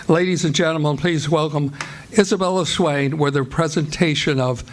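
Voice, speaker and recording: A man's voice: a little high, normal speed, a little hiss or hum. An American man announcing in a formal, declamatory old-time radio style as host and director of a live theatre performance. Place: live stage performance before an audience.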